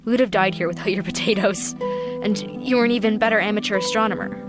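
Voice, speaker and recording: A woman's voice: medium pitch, fairly even intonation, fast, close to an everyday voice. An actress playing a hopeful female captain of a stranded spaceship in an audio drama, speaking in a coaxing, encouraging way. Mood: gently reassuring. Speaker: voice actor. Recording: music behind the voice.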